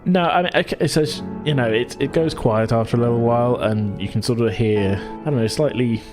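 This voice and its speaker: A man's voice: mid-range voice, fairly quick, music in the background. A man narrating a tabletop roleplaying game as game master, casual, chuckling and rambling.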